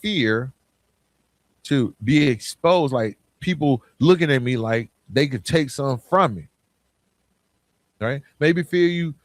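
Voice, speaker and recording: A man's voice: medium pitch, expressive, takes it slowly, pauses often, no room sound. An American man hosting a podcast, delivering an informal motivational monologue that addresses the listener directly with rhetorical questions. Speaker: podcast host.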